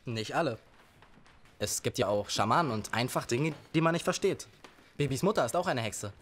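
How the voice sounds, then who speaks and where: A man's voice: mid-range voice, lively intonation, moderate pace, lightly acted. A young man playing a polite, awkward teenage count's son, speaking hesitantly in a children's audio drama. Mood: explaining.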